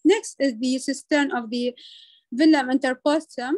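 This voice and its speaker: A woman lecturing over slides as a medical teacher, speaking in a halting way with frequent hesitant pauses. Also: sits high, fairly even intonation, darkish tone, no echo.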